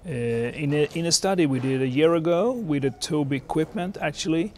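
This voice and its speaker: A man in his fifties with a Swedish accent explains his research in an interview, in measured, halting non-native English. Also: fairly high pitch, a little mellow, a little hiss or hum. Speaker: air traffic researcher and former controller. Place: indoor seated interview in a lounge or office. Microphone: lapel mic.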